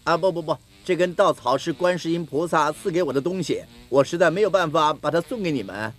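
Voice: medium pitch, fairly quick, close to an everyday voice. An actor playing a poor, naive, earnest man in a cartoon, exclaiming and muttering to himself. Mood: reluctant. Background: music.

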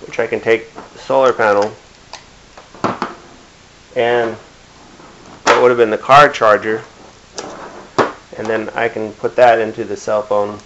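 A middle-aged man giving a casual hands-on demonstration in a halting, plain-spoken way. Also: on the low side, level tone. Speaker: DIY video maker. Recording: dull lo-fi camera sound with hiss.

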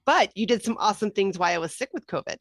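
A woman podcast co-host with a slight rasp from a recent illness, chatting in a playful, self-mocking way. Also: mid-range voice, animated, racing, no echo, clean studio sound. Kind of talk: casual chat.

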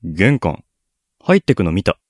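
Young man acting a grumpy lovestruck schoolboy, very animated. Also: low voice, a little slow, no echo, clean studio sound, full character voice. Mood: sulky. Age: young adult. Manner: blunt, curt, sulky. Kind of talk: Japanese student radio drama acting.

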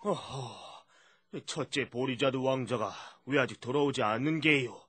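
거칠고 힘찬 위엄 있는 임금님. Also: male voice, mid-range voice, unhurried, a bit bright, breathy edge, no echo. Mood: concerned, pondering. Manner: stately, old-fashioned royal speech. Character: trusting, dignified middle-aged king and father. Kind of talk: children's puppet show voice acting.

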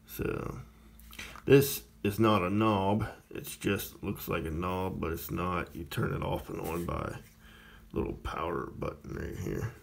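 A man's voice: on the low side, gravelly, darkish tone. A man casually demonstrating a small radio at a table indoors, plainspoken and rambling with many fillers. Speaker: radio reviewer. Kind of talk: casual product demo.

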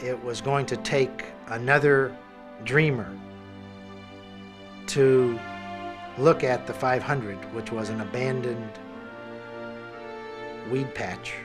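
An older man speaking reflectively as an interviewee in a documentary, recorded indoors. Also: mid-range voice.

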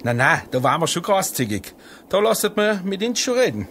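An actor playing a dismissive, blunt shepherd farmer with a Tyrolean Austrian accent in a satirical radio play. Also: male voice, sits high, big pitch swings, fairly quick, fairly bright tone, a little hiss or hum, heavily acted. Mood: casual, bargaining. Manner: dry, blunt, colloquial.

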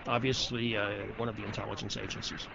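A man's voice: on the low side, little pitch movement, fast, vocal fry. A man recounting things matter-of-factly in an interview, in muffled, noisy audio.

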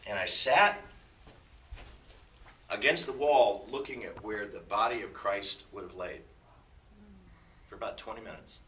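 A man in his fifties gives an informal sermon, his lowish voice ringing very bright and forceful. He drops jokey, self-deprecating asides into his stories. The sound is roomy and picked up from away from the mic. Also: a little slow, pauses often, recorded from a distance, a little hiss or hum.

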